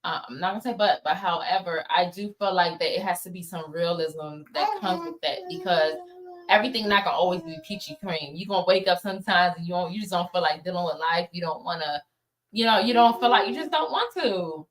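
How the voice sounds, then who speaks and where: A woman's voice: on the low side, animated, brisk. An American woman talking casually as an interview guest, informal and emphatic, repeating words for emphasis. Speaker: interview guest, playwright and producer.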